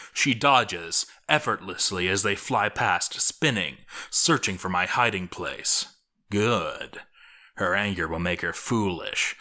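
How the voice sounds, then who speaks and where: A man narrates a story in a fairly low voice with a bright, forceful edge. His delivery is theatrical and deliberate, shaped for drama with well-placed pauses. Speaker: narrator reading a game story aloud.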